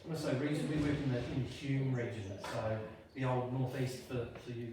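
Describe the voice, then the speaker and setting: An Australian man introduces himself as an emergency service regional officer on a panel, relaxed with hesitant ums. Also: medium pitch, brisk, background noise. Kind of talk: panel talk. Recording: echoey hall, distant mic.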